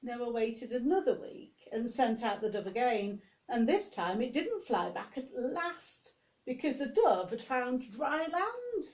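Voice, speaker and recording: A woman's voice: fairly high pitch, sing-song, unhurried, darkish tone. A woman reading a picture-book story to young children, expressively, with chatty asides spoken straight to the listeners.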